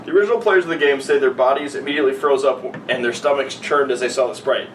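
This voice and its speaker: A man's voice: medium pitch, fast talker. A young man co-hosting a podcast, urging others along between casual banter and reading a story. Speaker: podcast co-host. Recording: echoey noisy room, distant mic.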